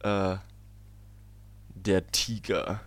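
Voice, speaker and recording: A young man with a low voice makes dry, teasing remarks in casual conversation. His delivery stays deadpan as he jokes. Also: very slow. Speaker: podcast co-host. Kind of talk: casual chat.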